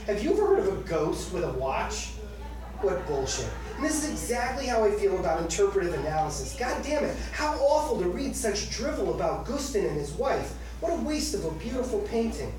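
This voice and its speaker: A man in his thirties giving a live literary reading of his own personal essay in a conversational, wry way. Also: very high voice. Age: 30s. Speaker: author reading from own memoir. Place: indoor book-launch reading room with audience. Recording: echoey room from far off, faint noise.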